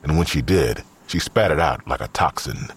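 A man narrating an audio drama as a sober storyteller, with a raspy voice. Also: a bass voice, expressive, moderate pace, heavily acted. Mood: sober, descriptive.

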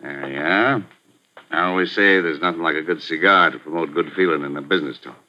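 A deep, forceful gangster boss on old radio. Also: male voice. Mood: menacing. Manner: tough street slang, slow menacing drawl. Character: ruthless male gangster gambling boss, middle-aged, menacing. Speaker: gambling house owner. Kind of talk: 1940s radio acting. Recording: muffled 1940s radio broadcast.

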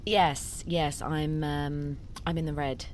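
An actress playing an anxious, apologetic niece, hesitant and stammering, in an audio drama. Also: female voice, sits low, flat delivery, slow pace, a little hiss or hum. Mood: flustered, awkward. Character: anxious, apologetic, flustered niece under pressure.

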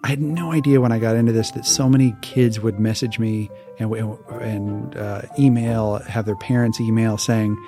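A man from Hawaii, a podcast guest telling relaxed, casual stories and laughing easily. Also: lowish voice, normal speed, hardly any pauses, soft background music. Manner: relaxed, laughs often, restarts words. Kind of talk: casual interview storytelling.

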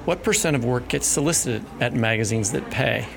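A man's voice: mid-range voice, a little fast, vocal fry, a crowd in the background. A man co-hosting a literary podcast, asking rambling, hesitant questions full of fillers. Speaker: podcast co-host. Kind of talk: casual interview questions.

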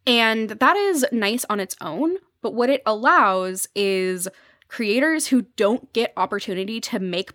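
A woman's voice: medium pitch, fairly bright tone, dry recording, studio quality. A woman speaking conversationally as a podcast guest, explaining things in a thoughtful, articulate way.

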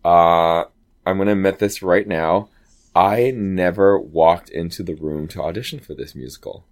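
A man with a low voice, an actor telling stories in a casual interview and acting out quoted lines. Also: moderate pace. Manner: animated, chatty, acts out quoted dialogue. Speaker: Broadway actor guest.

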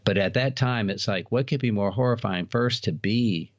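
A man's voice: fairly low pitch, normal speed. A man being interviewed, telling anecdotes in a casual, conversational way. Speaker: interview guest, haunted house operator. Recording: dull lo-fi sound, roomy echo.

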